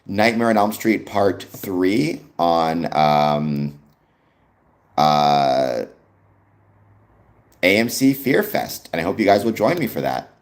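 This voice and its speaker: A young man with a Canadian accent talks casually to his live-stream viewers. His voice sits deep and low, yet his pitch swings about freely. He fills the pauses with ums as he answers comments from the chat. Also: big pitch swings. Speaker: livestream host.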